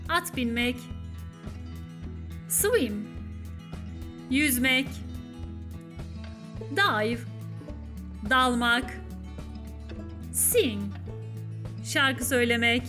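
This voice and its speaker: A woman's voice: on the high side, lively intonation, extremely slow, long pauses, sharp tone, soft background music. A Turkish woman narrating a scripted English lesson as a teacher, with careful, instructive enunciation.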